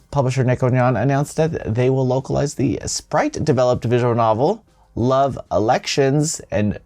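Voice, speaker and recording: Guy reading gaming news w lively up and down voice, jokey casual asides, music behind him. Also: lowish voice, gravelly. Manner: playful, self-deprecating asides. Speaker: host of a gaming news show. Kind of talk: scripted news read with casual, joking asides.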